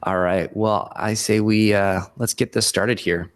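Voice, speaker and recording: A man hosting a webinar podcast in a casual, chummy way, his voice raspy from a cold. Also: lowish voice, steady tone, measured pace, dry recording. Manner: informal, chummy address. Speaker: podcast host.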